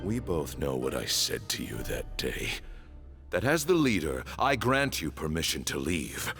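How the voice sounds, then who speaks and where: A stern old temple leader in a fantasy game, real low voice, dramatic swings, formal and reproachful. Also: male voice, wildly swooping pitch, a bit bright, faint music behind, full character voice. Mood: stern, accusing. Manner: formal, solemn, deliberate. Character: grave, reproachful older male temple leader. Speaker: voice actor in a fantasy video game. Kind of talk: dramatic scripted game dialogue.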